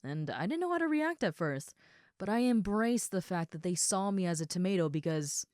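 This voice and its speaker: A woman playing a big, friendly ogre roommate in an audio roleplay, chatting casually and gently to the listener. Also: on the low side, animated, moderate pace, no room sound, studio quality, lightly acted. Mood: friendly, teasing. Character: huge muscular red female ogre roommate, friendly and easygoing.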